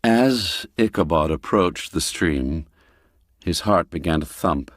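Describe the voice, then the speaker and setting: Low-voiced man narrating a slow gothic tale. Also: animated, no echo, clean studio sound. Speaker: audiobook narrator. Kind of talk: scripted gothic storytelling narration.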